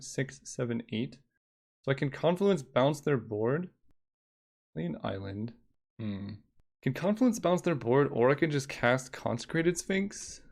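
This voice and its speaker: A man's voice: medium pitch, creaky, a little mellow. A young man streaming a card game, talking casually in a relaxing voice, thinking aloud and counting under his breath.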